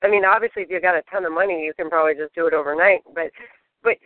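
A hoarse woman who is losing her voice, chatting in a rambling, easygoing way from inside her parked car. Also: fairly low pitch, steady tone, rapid-fire, no room sound. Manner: chatty, rambling, laughs easily. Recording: dry narrow phone line.

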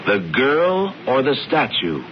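An actor playing a calm, knowing older brother who insistently tempts and persuades, heard on a dull, lo-fi radio broadcast. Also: male voice, mid-range voice, slow, slight background noise. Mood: persuasive. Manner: smooth, insistent, theatrical. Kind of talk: 1970s radio drama acting.

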